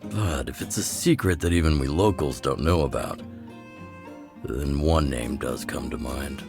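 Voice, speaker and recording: A man voicing a gruff, wry older rebel chief in a video game, with a measured, sardonic delivery. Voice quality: deep, gravelly. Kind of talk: scripted video game voice acting. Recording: game music under the voice. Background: game music.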